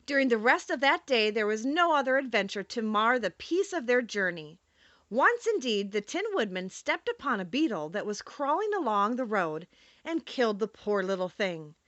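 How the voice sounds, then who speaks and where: Bright, expressive woman reading a storybook. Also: on the high side, moderate pace, dry recording, dull audio. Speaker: audiobook narrator. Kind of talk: expressive audiobook narration.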